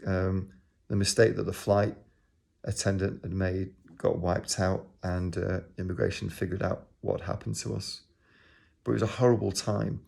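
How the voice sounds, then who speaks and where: Gravelly Manchester man telling a story. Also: low voice, flat delivery, moderate pace, a little mellow. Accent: Manchester English. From England. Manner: rambling, with frequent ums and uhs. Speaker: organisation leader. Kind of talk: personal story to camera.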